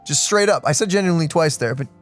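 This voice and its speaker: A young man co-hosting a gaming let's play, chatting casually in an excitable, emphatic, slangy way. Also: on the high side, quick pace, soft background music.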